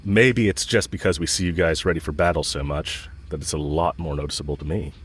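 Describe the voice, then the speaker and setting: A man performs a smitten villain with a low voice, speaking straight to the listener as in an intimate audio drama. He is cocky and casual, teasing as he goes, and blunt about his affection. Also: steady tone, a little fast, a little hiss or hum. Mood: fond, flirtatious. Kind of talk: romantic audio roleplay, spoken intimately to the listener.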